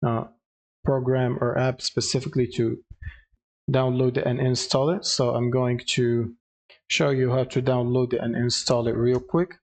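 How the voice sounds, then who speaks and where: A man with a mid-pitched voice walks through a software tutorial. He explains casually, step by step, pausing a little hesitantly as he goes. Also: flat delivery. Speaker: tutorial presenter.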